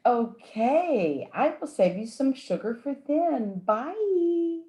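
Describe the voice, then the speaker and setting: An actress playing a sly, flirtatious nightclub dame in a campy 1940s radio noir play. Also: female voice, on the high side, darkish tone, a little hiss or hum, exaggerated voice. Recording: roomy live stage sound.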